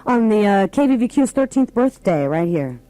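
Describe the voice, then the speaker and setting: A woman's voice: mid-range voice, big pitch swings, normal speed, mellow. Chatty woman radio host. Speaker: radio morning show host. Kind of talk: casual live radio chat.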